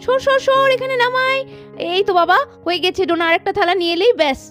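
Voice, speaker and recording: A woman's voice: extremely high pitch, animated, fast, bright, forceful tone, faint music behind, exaggerated voice. A woman voicing chatty, bustling young village women of a household in a children's doll cartoon. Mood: busy.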